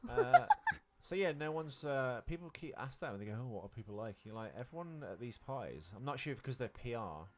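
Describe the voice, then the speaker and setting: A man's voice: mid-range voice, very animated, fairly quick, few pauses, very noisy. A man co-hosting a podcast, chatting casually about films and celebrities in a rambling, sweary way. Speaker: podcast co-host.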